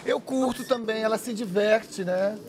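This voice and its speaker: A man chatting as a guest panelist, animated and opinionated. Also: high-pitched, measured pace, a loud crowd. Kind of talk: casual chat.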